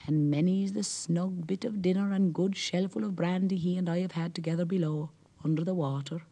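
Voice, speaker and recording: A man's voice: high voice, fairly even intonation, a little fast, gravelly, warm tone, pitched above the performer's own voice. A man voicing a sly, jovial old male merrow who talks in a knowing, wheedling way.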